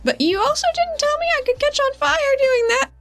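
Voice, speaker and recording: A woman's voice: extremely high pitch, expressive, normal speed, a bit bright, soft background music, pitched above the performer's own voice, exaggerated voice. A woman playing a frank, naive, good-natured young outsider in a tabletop game, talking informally. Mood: surprised, sympathetic.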